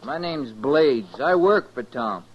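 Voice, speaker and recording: An actor playing a cocky, defiant ranch hand with an American accent in a muffled 1950s radio western. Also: male voice, medium pitch, expressive, takes it slowly, slight background noise.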